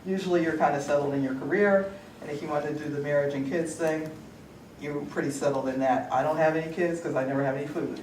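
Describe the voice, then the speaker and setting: A woman's voice: sits low, steady tone, fast talker, darkish tone. A middle-aged American woman, an author on a panel, chatting casually and joking as she talks about her book. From United States. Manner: chatty, joking, laughs at her own lines. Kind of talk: casual conference talk. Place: large conference hall. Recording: echoey hall, distant, noisy audience.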